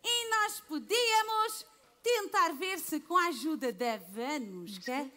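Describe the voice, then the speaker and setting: A woman's voice: high-pitched, lively intonation, takes it slowly, sharp tone. A woman children's entertainer on an outdoor stage, talking in an exaggerated, sing-song way. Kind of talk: playful stage show for kids.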